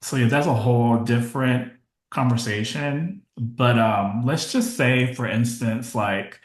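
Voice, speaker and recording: A man in his thirties, a podcast guest, talking in a relaxed, matter-of-fact way that trails off now and then. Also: medium pitch, a little slow, roomy sound. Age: 30s. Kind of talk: casual interview chat.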